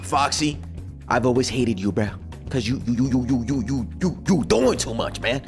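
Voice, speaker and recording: A man's voice: medium pitch, moderate pace, music in the background. Slangy young man chatting casually. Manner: animated, slangy, repeats words when excited. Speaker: reaction video host. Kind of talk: casual reaction chat, slangy.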